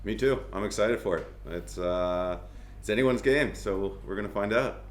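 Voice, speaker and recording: A man's voice: fairly low pitch, normal speed, reverberant, a little hiss or hum. A man who plays hockey, chatting as a podcast guest in an easygoing, self-deprecating way. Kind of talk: casual chat.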